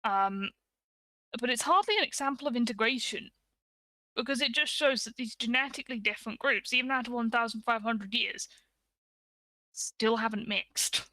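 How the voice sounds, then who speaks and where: Bright, higher-voiced woman. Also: moderate pace, no echo, poor audio. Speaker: co-host. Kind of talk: casual explanatory chat.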